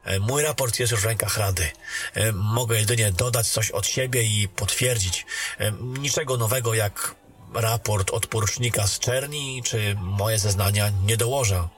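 A man voicing a weary warrior back from a mission in a fantasy audio drama, speaking in a calm, matter-of-fact way. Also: lowish voice, normal speed, close to an everyday voice.

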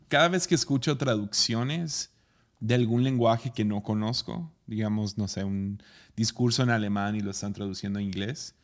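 Low-voiced male preacher, attentive listener. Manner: attentive listener, frequent soft affirmations. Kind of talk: casual conversation.

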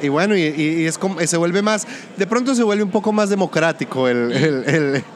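A man from Colombia with a Colombian-Venezuelan border accent, a music producer talking through his work in a relaxed interview, pausing and restarting his sentences. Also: a little high, a little hiss or hum. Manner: thoughtful, restarts often. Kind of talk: casual interview conversation.

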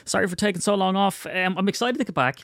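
A man's voice: high-pitched, fast talker, a bit bright, no echo, clean studio sound. A man hosting his own podcast, stuffy from a cold, rambling and poking fun at himself. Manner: rambling, self-mocking, chatty. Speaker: podcast host. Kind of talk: casual solo podcast chat.

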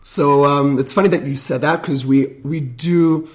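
A man's voice: fairly high pitch, steady tone. A man in his thirties, originally from South Africa, chatting as a guest about his work as a DJ, upbeat and enthusiastic. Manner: enthusiastic, upbeat, quick to agree. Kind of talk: casual interview chat.

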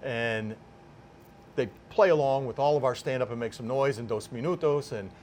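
Deliberate older man over clear background noise. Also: medium pitch, a little mellow. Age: 50s or older. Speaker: sports public address announcer, interview guest. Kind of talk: casual sit-down interview.

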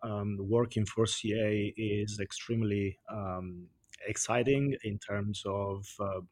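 Italian man guest, husky lowish voice, hesitant, dry studio. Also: steady tone, takes it slowly, a little mellow, no room sound. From Italy. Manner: hesitant, many fillers. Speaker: guest, sustainability director. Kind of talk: interview answers.

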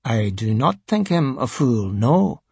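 A man voicing a calm, dryly insolent cleric in an audiobook, with a polite manner. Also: fairly high pitch, normal speed, dry recording, close to an everyday voice. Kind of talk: audiobook character voice.

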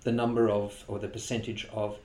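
A man interviewing, asking a long question in a searching, halting way. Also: lowish voice, level tone, dark tone. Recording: echoey room.

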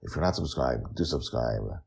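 A male author-host tells his fantasy serial in a low, creaky voice. His delivery is theatrical and very animated, with emphatic phrasing and dramatic pauses. The tone is husky and gravelly. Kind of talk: dramatic storytelling narration.

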